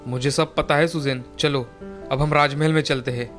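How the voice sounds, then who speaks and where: A man's voice: fairly high pitch, fairly even intonation, normal speed, fairly bright tone, faint music behind, close to an everyday voice. A man playing an earnest young brother turned into a deer in a children's fairy tale.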